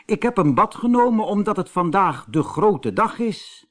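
Man putting on a high-pitched, cheerful little gnome. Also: big pitch swings, normal speed, a bit bright. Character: cheerful little old-world mountain gnome, small man.

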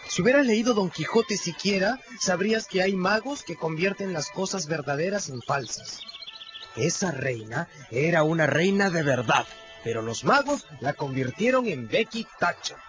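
A man plays a know-it-all boy leader in a radio drama. His voice is high and he lectures with confident emphasis. The sound is slightly dull, with music behind. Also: lively intonation, normal speed, close to an everyday voice. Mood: cocksure.

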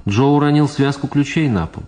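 A man's voice: medium pitch, a little fast, a little mellow, natural voice. A man acting as the narrator of a political radio drama. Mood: narrating.

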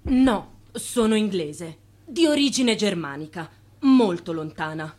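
A mid-pitched woman as a hospital nurse in a radio drama. She is practical and devoted, with a reserved, dry way of speaking that allows no sentiment. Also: expressive, takes it slowly, a little mellow. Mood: dry, guarded. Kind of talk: radio play acting.

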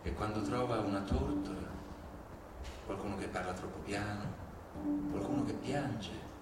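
A man giving a hushed, grave and measured dramatic reading as a stage narrator. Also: on the low side, heavy background noise. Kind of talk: slow theatrical dramatic reading. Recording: echoey hall, distant, music behind.

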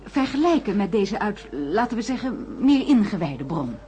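A woman's voice: medium pitch, very animated, moderate pace, mellow, a little hiss or hum, lightly acted. An actress playing an inquisitive, practical woman among scientists in a Dutch radio drama, questioning and articulate.

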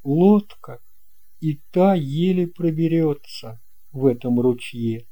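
A man narrating a children's story from a script in a measured, expressive storytelling manner. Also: fairly high pitch. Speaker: audiobook narrator.

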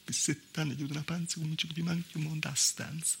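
An actor playing a wry, plaintive Calabrian village mother in a theatrical monologue, with a southern Italian accent and a halting, confiding, folksy delivery. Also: a little high, flat delivery, gravelly, slight background noise. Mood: plaintive, resigned. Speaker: actor in a solo stage monologue. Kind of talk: theatrical storytelling monologue in dialect-inflected Italian. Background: live musical accompaniment.

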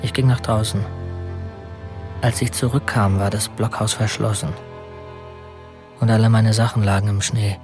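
An actor playing a bitter young convict who tells his past in a quiet, brooding way in a radio drama. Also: on the low side, flat delivery, moderate pace, long pauses, music in the background, close to an everyday voice.

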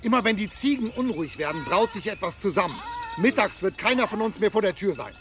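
A woman playing a foreboding goatherd villager in a radio drama, speaking in an insistent, halting, ominous way. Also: medium pitch, lively intonation, music in the background, natural voice. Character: foreboding goatherd villager warning of a coming storm. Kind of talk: German radio drama acting.

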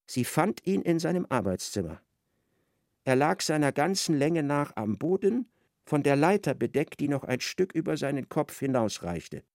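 A man's voice: a little high, big pitch swings, moderate pace, a little mellow, clean studio sound. A man narrating a literary radio drama as a wry storyteller who echoes an aggrieved wife's thoughts. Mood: measured, grave.

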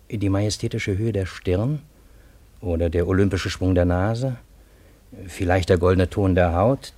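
An actor playing a wry, reflective man who narrates his own story in a radio play, with measured, literary phrasing. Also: fairly low pitch, a little mellow, no room sound, natural voice. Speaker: first-person narrator.

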